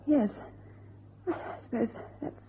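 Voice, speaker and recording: A woman's voice: a little slow, slight background noise, close to an everyday voice. An actress playing a guarded, nervous businesswoman in an old radio detective drama, hesitant and defensive. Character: guarded, nervous young businesswoman held captive. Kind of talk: golden-age radio drama acting. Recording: muffled, rough old radio recording.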